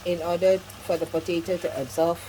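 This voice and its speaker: Low-voiced woman cooking in her kitchen. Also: steady tone, brisk, warm tone, slight background noise, poor audio. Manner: chatty and instructive, with frequent asides. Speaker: cooking channel host. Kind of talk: home cooking demonstration. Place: home kitchen.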